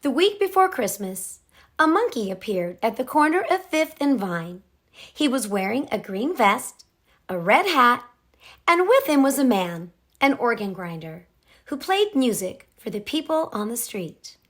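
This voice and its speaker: A woman narrates a children's storybook with a lively, swooping melody. She takes her time over each phrase, and her slightly high voice has a husky, breathy edge. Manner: expressive, measured read-aloud delivery. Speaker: picture book reader.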